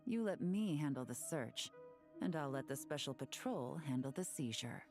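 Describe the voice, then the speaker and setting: An actress voicing a cool, confident female agent in a game, calm and unhurried. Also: a bass voice. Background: game music.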